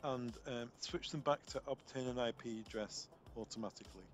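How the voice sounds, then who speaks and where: A man presenting an unscripted software tutorial step by step, explaining as he goes and often repeating words as he finds his phrasing. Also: mid-range voice, a little fast, a little hiss or hum. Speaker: product trainer demonstrating intercom software.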